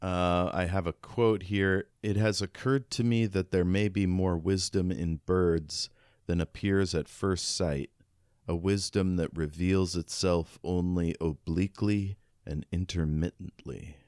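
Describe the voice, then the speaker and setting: A man's voice: low voice, fairly even intonation, a little slow, a little mellow, clear, resonant tone, no echo, clean studio sound. A man hosting a podcast, casually chatting about a book. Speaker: podcast host. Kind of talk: casual book discussion chat.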